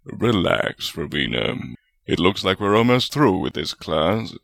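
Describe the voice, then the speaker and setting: A man plays a jovial, unrepentant wizard spirit in a storybook reading. The voice is very low and gravelly, with a stubborn, teasing manner. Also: sing-song, measured pace, fairly bright tone, no echo, studio quality, heavily acted. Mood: earnest.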